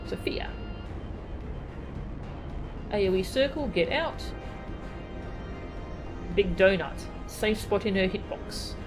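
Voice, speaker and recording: A woman's voice: medium pitch, lively intonation, slow, pauses often. A woman streaming a video game, chatting casually, reading game text aloud and laughing often. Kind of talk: casual gameplay commentary. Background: game music.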